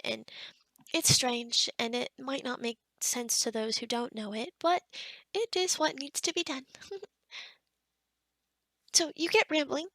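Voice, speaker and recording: A woman's voice: sits high, fairly bright tone, no room sound. A woman playing a kind, scatterbrained forest witch in an ASMR roleplay, rambling and muttering to herself with whispery asides.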